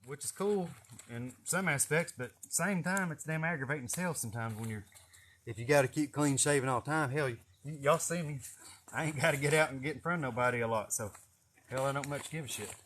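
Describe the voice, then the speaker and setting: A man's voice: mid-range voice, a little fast, fairly bright tone, slight background noise. A man with an East Tennessee Southern accent, rambling through folksy, profane stories in a casual livestream chat. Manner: folksy, profane, chuckling storyteller. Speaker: snake catcher hosting a live video. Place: greenhouse garden.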